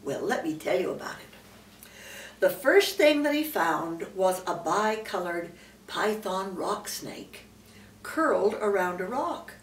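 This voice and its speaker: A woman's voice: mid-range voice, animated, takes it slowly. An older woman, a great-grandmother, reading a children's storybook aloud with emphatic phrasing and explanatory asides. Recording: roomy, distant mic, faint background noise.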